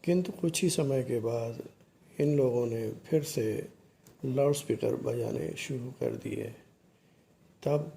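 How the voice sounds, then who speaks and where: A man giving explanatory commentary to viewers in short, halting phrases, as the narrator of a video. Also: medium pitch, creaky, mellow, slight background noise.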